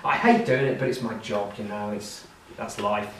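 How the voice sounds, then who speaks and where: A man voicing a cold, menacing captain of the royal guard, speaking in a calm, matter-of-fact, threatening way. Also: fairly low pitch, moderate pace, roomy sound, distant mic, a little hiss or hum, voice pushed down, close to an everyday voice.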